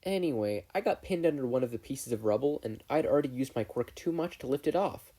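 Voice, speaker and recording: Teenage schoolgirl, voiced by a narrator: bubbly, earnest, lively delivery, slightly husky tone. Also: medium pitch, fairly quick, pitched above the performer's own voice, natural voice. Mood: matter-of-fact. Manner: upbeat, earnest, playful. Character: bright, bubbly, friendly teenage girl student. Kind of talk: fan fiction audiobook character voice.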